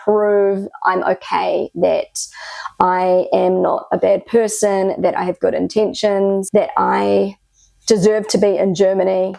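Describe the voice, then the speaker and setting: Warm, gravelly New Zealand woman vlogging. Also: mid-range voice, no echo. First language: English. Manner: earnest, reflective, fond of "you know" asides. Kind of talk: casual talk to camera.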